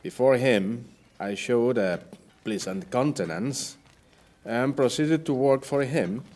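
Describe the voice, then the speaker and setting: Slow, weary shipwrecked captain played by a man. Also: medium pitch, darkish tone, close to an everyday voice. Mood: rueful recounting. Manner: formal, archaic, measured. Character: weary shipwrecked Spanish captain, starving and plaintive. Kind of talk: audio play acting, first-person account.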